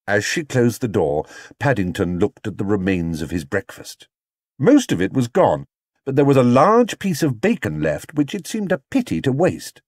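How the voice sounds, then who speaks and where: A man's voice: medium pitch, darkish tone, dry recording, clean studio sound. A man reading a scripted children's story as an audiobook narrator.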